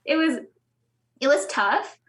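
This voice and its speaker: Woman, young adult, from the Philippines, chatty, slightly high voice, interview guest. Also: lively intonation, slow, a bit bright. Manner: chatty with frequent likes and small laughs. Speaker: guest musician. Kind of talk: casual interview chat.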